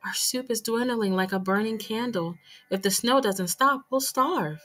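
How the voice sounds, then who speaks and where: A woman voicing a caring mother of a poor family in a storybook, speaking softly. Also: on the high side, measured pace, faint music behind. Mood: caring, worried.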